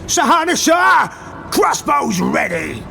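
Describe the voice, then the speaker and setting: A man voicing a commanding dark elf highborn warlord for a fantasy audiobook, with an imperious manner. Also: very animated, takes it slowly, vocal fry, heavily acted. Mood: shouting commands. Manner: imperious, barking orders. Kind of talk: fantasy audiobook character acting. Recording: music bed behind the voice.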